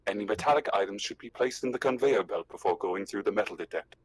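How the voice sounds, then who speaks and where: Casting: man as working android at station customs, tabletop game. Low voice, formal, courteous, procedural, dry sound. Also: quick pace, no echo, close to an everyday voice, poor audio. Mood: polite, impassive.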